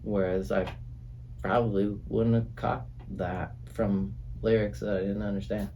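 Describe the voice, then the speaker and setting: Low, mellow-voiced man reflecting in a studio. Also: level tone, a little hiss or hum, poor audio. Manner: reflective, halting, many fillers. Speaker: music producer and drummer. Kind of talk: casual conversation. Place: recording studio.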